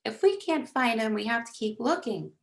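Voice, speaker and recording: A woman's voice: lowish voice, expressive, brisk, vocal fry. A woman voicing an anxious, insistent young storybook character with a tearful, urgent manner. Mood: urgent pleading. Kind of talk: acted audiobook dialogue.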